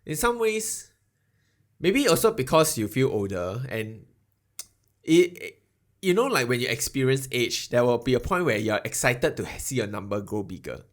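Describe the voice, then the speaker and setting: A man's voice: medium pitch, big pitch swings, moderate pace. A man, co-hosting a podcast in a rambling, reflective chat. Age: 30s. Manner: rambling, reflective, ends phrases with lah. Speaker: podcast co-host. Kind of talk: casual chat.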